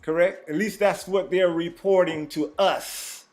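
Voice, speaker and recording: A man hosting a livestream panel, leading the guest with slow, halting questions. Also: high voice, fairly even intonation, a crowd in the background. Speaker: panel host. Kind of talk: casual panel chat on a livestream.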